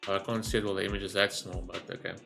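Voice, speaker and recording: A man's voice: low-pitched, level tone, racing, gravelly, a bit bright, soft background music. A man reacting to a game as a streamer, talking informally and thinking aloud in a rambling, casual way. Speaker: gaming streamer.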